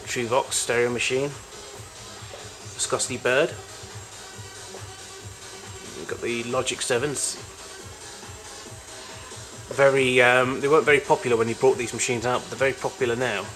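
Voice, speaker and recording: A man giving an informal, unscripted show-and-tell as a collector of old machines, in a halting, rambling way with frequent self-corrections. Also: medium pitch, level tone, a bit bright, soft background music. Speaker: collector showing vintage tape recorders.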